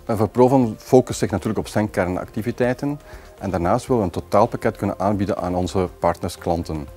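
A man in his forties with a Flemish accent, explaining his metalworking firm in a measured, businesslike corporate interview, recorded on a lapel mic in an office. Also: fairly low pitch, darkish tone, soft background music. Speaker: company executive. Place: office with glass wall.

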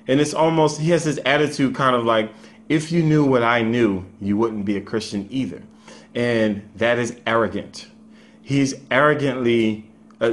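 Man giving casual unscripted commentary. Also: medium pitch. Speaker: video commentator.

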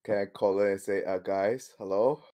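A man's voice: fairly low pitch, steady tone, moderate pace, mellow. A man speaking in character in a tabletop game, hesitant and uncertain. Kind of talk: casual in-character game talk.

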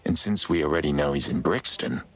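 An actor playing a weary, guarded middle-aged vigilante in a video game, speaking in a terse, understated way. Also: male voice, extremely low pitch, a little fast, fairly bright tone, heavily acted. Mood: businesslike. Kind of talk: cinematic game dialogue.

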